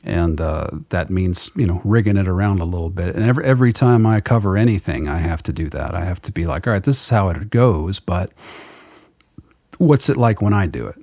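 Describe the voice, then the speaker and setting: Low-voiced man, rambling and laughing. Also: lively intonation, brisk, darkish tone, no room sound, clean studio sound. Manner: rambling, digressive storyteller with frequent laughs. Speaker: musician guest. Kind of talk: casual conversation.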